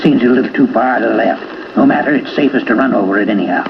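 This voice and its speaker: An older American man voicing an excitable, commanding riverboat pilot in a story reading, given to muttering and exclaiming. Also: mid-range voice, fast, gravelly, natural voice. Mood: puzzled muttering. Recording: muffled, noisy AM radio tape.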